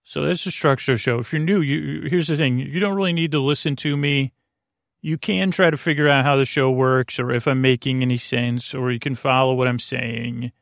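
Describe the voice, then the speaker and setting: A man with a creaky, gravelly mid-pitched voice hosts a bedtime podcast. He meanders haltingly through his monologue, often cutting himself off and circling back. The sound is dry and close, with very little room in it. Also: steady tone, dry recording. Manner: meandering, halting, self-interrupting. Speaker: podcast host.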